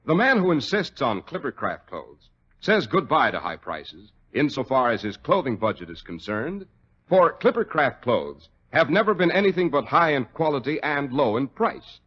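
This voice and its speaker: A man's voice: medium pitch, big pitch swings, normal speed, slightly rough. A man with an American accent playing a genial, polished announcer and emphatic salesman in a scripted 1940s radio show. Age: young adult. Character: genial announcer visiting an old doctor. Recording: dull, lo-fi 1940s radio recording.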